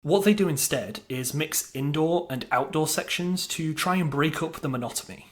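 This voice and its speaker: A man's voice: a little high, moderate pace. A man delivering scripted narration for a video game review, with a wry, dryly humorous manner.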